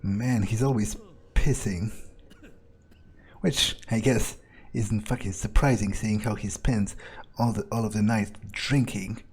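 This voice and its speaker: A man with a husky voice improvising in-character commentary on a game, casual and sweary as he thinks aloud. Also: on the low side, steady tone, unhurried, darkish tone. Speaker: gamer playing in character.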